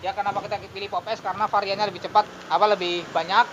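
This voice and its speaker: Fast-talking high-voiced male street vendor. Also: little pitch movement, over a noisy crowd. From Cilacap, Central Java. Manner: brisk and matter-of-fact, often says "alhamdulillah". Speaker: drink stall vendor. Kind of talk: casual interview answers. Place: roadside stall beside traffic. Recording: muffled audio with loud traffic noise. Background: passing vehicles.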